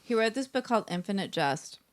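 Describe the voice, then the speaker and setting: A woman's voice: medium pitch, lively intonation, fast talker. An American woman co-hosting a podcast in casual banter, talking in an informal, rambling way peppered with "like". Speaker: podcast co-host.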